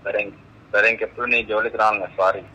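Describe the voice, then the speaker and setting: A young man with a fairly low but very sharp-edged voice talks fast over a phone line with faint noise. His replies are short, evasive and defensive. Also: level tone, breathy edge. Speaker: person being confronted on a phone call. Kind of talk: phone conversation.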